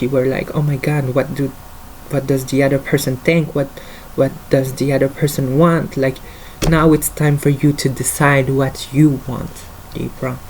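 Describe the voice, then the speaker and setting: A man's voice: medium pitch, vocal fry, a little mellow, slight background noise, low-quality recording. A man with a French accent, a native French speaker, giving a casual tarot card reading in English, talking hesitantly with many fillers. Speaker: tarot reader.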